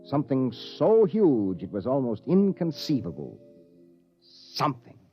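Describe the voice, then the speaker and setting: A man's voice: mid-range voice, huge pitch swings, a little slow, highs cut off. A man giving dramatic, suspenseful narration in a 1950s radio drama, old recording. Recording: old radio broadcast with music.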